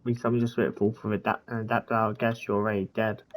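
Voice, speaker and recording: A man's voice: on the low side, level tone, fast talker. A man casually reading out game dialogue in an everyday voice.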